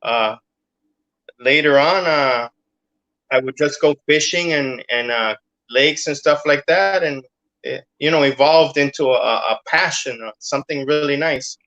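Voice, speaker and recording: A man's voice: on the high side, measured pace, bright, forceful tone, lo-fi sound. A middle-aged man from Cuba, a guest in a casual interview, talking hesitantly with many fillers.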